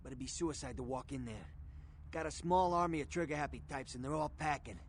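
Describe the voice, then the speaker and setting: A man's voice: medium pitch, brisk, slight background noise, close to an everyday voice. A male actor playing a streetwise, shady fixer in a video game, talking in blunt tough-guy slang. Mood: confiding, matter-of-fact. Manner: gruff slangy tough-guy talk, matter-of-fact. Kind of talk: video game dialogue acting.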